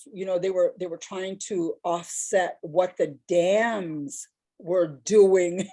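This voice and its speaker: Woman on a panel with a big mellow voice, kinda low, rambles through her stories. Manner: reflective, rambling, laughs while telling stories. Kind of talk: personal storytelling on a discussion panel.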